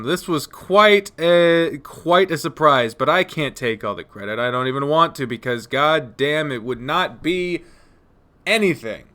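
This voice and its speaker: A man hosting a casual interview, excitable and loose, swearing freely and laughing often. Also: a little high. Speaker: interview host.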